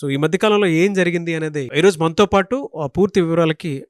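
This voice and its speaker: A Telugu man hosts a news interview, presenting and asking questions at a rapid clip. His voice sits a little high, and the recording is dry. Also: dry recording. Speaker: interviewer, show host.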